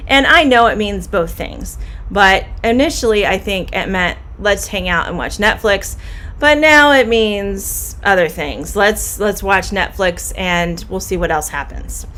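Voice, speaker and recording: A woman's voice: mid-range voice, expressive, a bit bright. Middle-aged Midwestern woman as an English teacher: friendly, explains patiently. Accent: Midwestern American. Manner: friendly, explains slowly and repeats phrases. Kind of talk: live-streamed idiom lesson.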